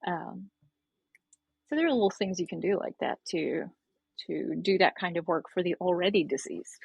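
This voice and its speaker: A hoarse woman with a gravelly, fry-laden growl and a breathy, husky edge, talking through ideas in an explanatory way and doubling back on her phrases. Her voice sits a little low and sounds dry and close, with no room around it. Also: moderate pace, dry recording. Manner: explanatory, restarts phrases, laughs lightly. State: hoarse, froggy voice. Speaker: author guest. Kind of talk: casual podcast interview.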